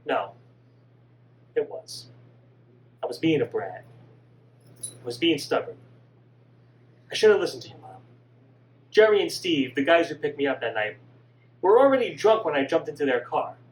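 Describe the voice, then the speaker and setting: A man voicing a remorseful young son who pleads softly in a lowered, quiet voice. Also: big pitch swings, normal speed, long pauses. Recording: distant mic with faint hum.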